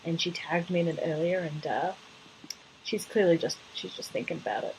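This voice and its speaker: A woman's voice: on the low side, steady tone, moderate pace, a little mellow, poor audio. Wry woman podcaster over faint noise. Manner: wry, teasing. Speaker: podcast co-host. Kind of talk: casual chat, quipping replies.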